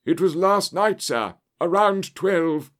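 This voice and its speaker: A man's voice: sits high, animated, slow pace, fairly bright tone, voice pushed up, full character voice. A man voicing a flustered, breathless, deferential butler with dreadful news in an audiobook story. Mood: agitated, shocked. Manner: gasping, agitated, deferential.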